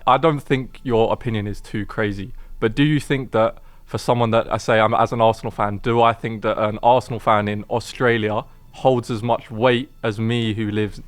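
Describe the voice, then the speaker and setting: A man's voice: lowish voice, fairly even intonation, normal speed, hardly any pauses. A man on a fan jury giving scores and questioning a guest in a casual debate. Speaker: jury member.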